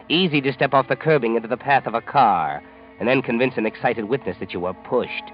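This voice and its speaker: An American actor playing a knowing, taunting narrator of a 1940s radio mystery, deliberate, insinuating and mocking. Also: male voice, medium pitch, lightly acted. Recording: dull vintage radio recording. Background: music.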